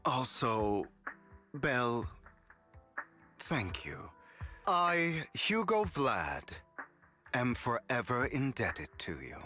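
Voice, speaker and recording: An actor playing a suave, theatrical male con artist in a game cutscene, smooth and dramatic, dropping to a whisper at times. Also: mid-range voice, sing-song, extremely slow, pauses often, sharp tone, soft background music, exaggerated voice.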